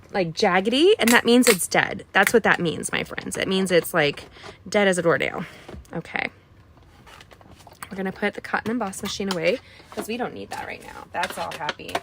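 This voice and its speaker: Chatty craft lady with a bit of a scratchy voice, talking thru a card tutorial, goofy little asides and whispers. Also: medium pitch. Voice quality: slightly scratchy voice. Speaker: card-making tutorial host. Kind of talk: casual craft tutorial chat.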